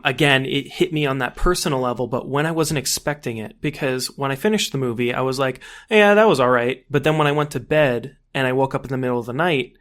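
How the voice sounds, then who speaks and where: Male podcast guest, medium pitch, casual and rambling conversational storyteller. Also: fast talker, few pauses, a bit bright. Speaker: podcast guest who hosts his own podcast. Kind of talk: casual chat.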